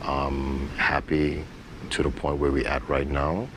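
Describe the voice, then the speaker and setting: A man's voice: a bass voice, monotone, measured pace. A man giving interview answers as a guest play director. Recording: noticeable background noise.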